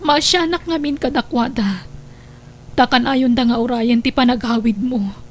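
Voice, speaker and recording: A woman's voice: fairly high pitch, moderate pace, natural voice. A woman with an Ilocano Filipino accent acting a suspicious, hurt wife and mother in an audio drama. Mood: hurt, accusing.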